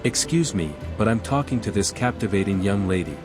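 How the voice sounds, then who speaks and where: A man's voice: fairly low pitch, animated, a little fast, over music, lightly acted. An actor voicing a courteous, composed male scholar envoy in a video game. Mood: suave. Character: smooth-talking, reasonable male envoy, godlike scholar adventurer.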